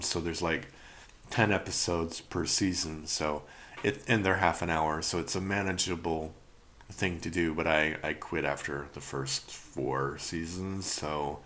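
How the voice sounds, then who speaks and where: A man's voice: low-pitched, fairly bright tone. A middle-aged man from Canada with a Canadian accent, chatting casually and rambling through a solo review podcast, often laughing and trailing off. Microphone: podcast microphone.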